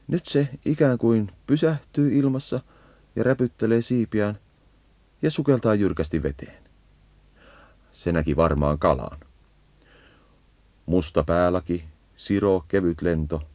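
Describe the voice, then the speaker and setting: A man's voice: deep voice, expressive, pauses often, mellow, dry recording. A man narrating a scripted educational birdsong guide, leading the listener as if on a field walk.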